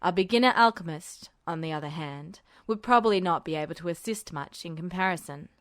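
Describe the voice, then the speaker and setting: Woman doing a measured, lowered male officer. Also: fairly bright tone, dry recording, clean studio sound, close to an everyday voice.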